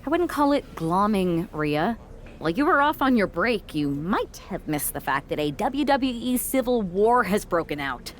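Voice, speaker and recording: A woman plays a persuasive recruiter in a wrestling game story. She is businesslike and pointed, negotiating in a measured way, with some faint noise behind her. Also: medium pitch, big pitch swings, close to an everyday voice. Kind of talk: scripted video game cutscene acting.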